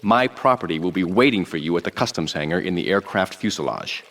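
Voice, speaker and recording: A man voicing a suave, scheming rich tycoon who speaks in a calm, polished, dryly ironic way. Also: sits low, brisk, full character voice. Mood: smooth.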